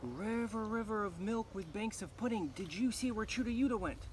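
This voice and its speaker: A man's voice: high voice, normal speed, voice pushed up, natural voice. A man voicing a picky, anxious young girl in a live folktale, with a pleading, exclaiming manner. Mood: urgent asking. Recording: noisy live recording.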